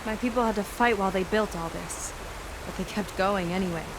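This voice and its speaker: A woman playing an eager, forthright young seafaring scout in a video game, animated and candid. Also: mid-range voice, brisk, noisy background, lightly acted. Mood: sober, informative. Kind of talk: video game cinematic acting. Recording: loud music behind the dialogue.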